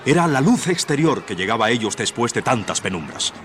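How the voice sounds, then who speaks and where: A man's voice: mid-range voice, big pitch swings, a little fast, a bit bright. A man giving scripted dramatic narration for a radio play. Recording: noisy old radio drama recording.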